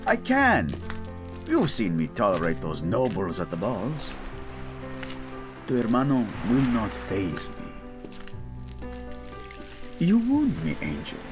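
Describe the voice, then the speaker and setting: A man's voice: on the low side, huge pitch swings, slow, long pauses, heavily acted. A man playing a proud, devoted nobleman husband in a romantic audio drama, speaking formally and dramatically with tender endearments. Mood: tender, relieved. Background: background music.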